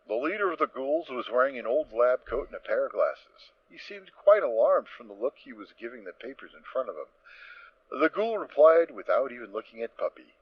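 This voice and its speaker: A male narrator reads a scripted story in a mid-pitched, very animated voice. The sound is a little muffled and lo-fi, like a home recording. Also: brisk.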